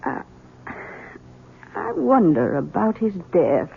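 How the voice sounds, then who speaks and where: A woman's voice: fairly low pitch, sing-song, slow, gravelly, slight background noise, exaggerated voice. An actress playing a bitter, domineering elderly mother-in-law in a 1970s radio drama, with a dry, sardonic manner. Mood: brooding. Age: older. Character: bitter, domineering elderly Southern matriarch in hiding. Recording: muffled, thin 1970s radio recording.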